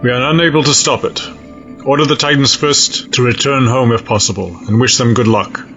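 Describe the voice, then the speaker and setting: A man's voice: medium pitch, fairly even intonation, a bit bright, soft background music, close to an everyday voice. Man acting a cold, formal fleet admiral, muffled. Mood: grave, resolute. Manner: formal, measured, stiff diction. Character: cold, resolute male alien space-fleet admiral. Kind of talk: sci-fi audio drama acting.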